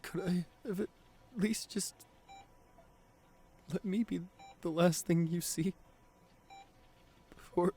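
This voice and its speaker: Man acting a tender, grieving, halting boyfriend. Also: sits high, fairly even intonation, warm tone, slightly rough, heavily acted. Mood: tearful, guilt-ridden. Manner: halting, tender, stammering with emotion. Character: devoted, grieving boyfriend visiting his comatose partner in hospital. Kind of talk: intimate ASMR audio roleplay.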